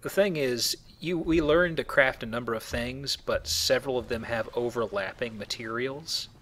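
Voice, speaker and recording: A man's voice: mid-range voice, normal speed, fairly bright tone. A man hosting a let's play with casual, explanatory game commentary and joking asides.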